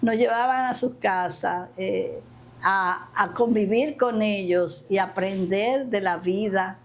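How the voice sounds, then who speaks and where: An older woman with a Dominican accent talks as an interview guest. Her voice sits at a medium pitch, and she tells things in an emphatic, storytelling way, with light ambient noise behind her. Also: slow pace. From Dominican Republic, Santiago and the capital. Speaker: interview guest, community leader. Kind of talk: conversational interview, reminiscing. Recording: steady ambient background noise.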